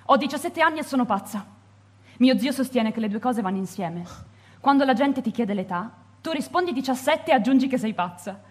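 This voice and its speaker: A woman's voice: on the high side, animated, fairly quick, slightly rough. A young woman playing an odd, curious seventeen-year-old girl in a radio play, light and playful.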